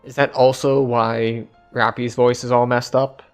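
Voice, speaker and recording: Man, livestreamer, casual game commentary, offhand jokes, middle pitch, faint music. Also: little pitch movement, measured pace, clear, resonant tone. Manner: offhand, joking asides. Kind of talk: casual commentary over gameplay.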